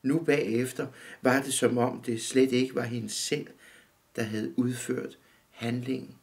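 A man in his fifties calmly reading his own short story aloud in a dim room, measured and steady. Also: medium pitch. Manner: calm, measured reading, often looking down at the text. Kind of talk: literary reading.

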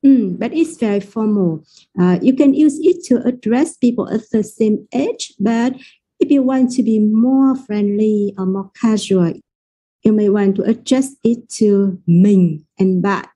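Dark-toned Vietnamese-accented woman teacher. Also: medium pitch, husky, poor audio. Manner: careful, deliberate explanations. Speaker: language teacher. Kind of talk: explaining pronunciation in a lesson.

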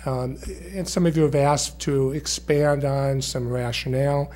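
A middle-aged man presenting at a school board meeting into a desk microphone, measured and full of fillers and restarts. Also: medium pitch, fairly even intonation, darkish tone.

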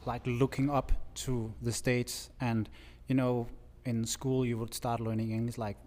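A man in his thirties from Denmark with a Danish accent, chatting as a guest artist in a relaxed, halting way. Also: mid-range voice, big-room sound. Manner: relaxed, halting, laughs easily. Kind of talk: casual interview chat. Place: large bright studio, indoors. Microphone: stand mic with headphones.

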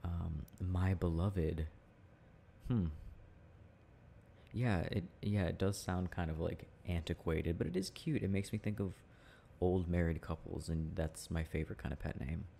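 A young man speaking in a playful, affectionate, halting murmur, like an intimate, casual ASMR boyfriend roleplay, with a deep gravelly voice. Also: dark tone. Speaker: boyfriend roleplay audio performer. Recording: faint background noise.

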